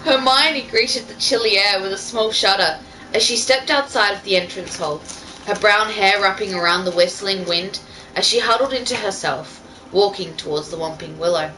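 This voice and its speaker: A woman's voice: mid-range voice, normal speed, sharp tone, rough recording. A woman reading fan fiction aloud in an amateur style, breaking off for casual banter. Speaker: reader.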